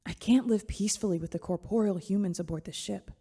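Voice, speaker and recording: An actress playing a calm, wise computer-program daughter in an audio drama, serene and gently detached. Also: female voice, mid-range voice, fast, husky. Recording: long hall reverb with music.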